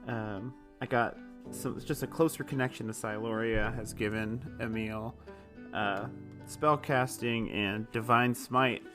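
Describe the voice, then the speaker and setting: A man's voice: medium pitch, steady tone, unhurried, over music. A man playing in a tabletop podcast, chatting casually in a jokey, rambling way. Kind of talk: casual chat.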